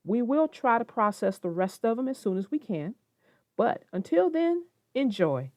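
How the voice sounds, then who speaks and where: A woman's voice: fairly high pitch, sing-song, normal speed, a little mellow, dry recording. A woman host narrating a children's storybook as a read-aloud. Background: music, plucked guitar.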